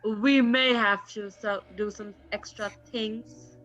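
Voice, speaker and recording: A woman playing a formal, deferential servant of a spooky castle in a student radio play, speaking in a measured, halting way. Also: mid-range voice, fairly even intonation, a bit bright. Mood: ominously deferential. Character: formal spooky-castle servant, reminiscing about kindly mistress. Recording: dull lo-fi recording with prominent music.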